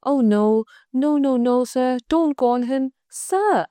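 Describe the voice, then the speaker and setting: A woman's voice: sits high, slow, warm tone, clean tone, dry recording. Flustered schoolgirl, stammering, storybook, woman reading, dry close sound. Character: fibbing anxious schoolgirl.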